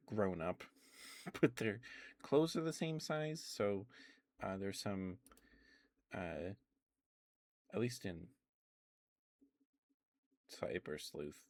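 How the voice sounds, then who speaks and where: Deep-voiced man rambling softly in ASMR. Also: very animated, slow pace, long pauses, no echo, studio quality. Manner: hesitant, many ums and restarts, soft laughs. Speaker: ASMR streamer. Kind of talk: rambling ASMR game chat.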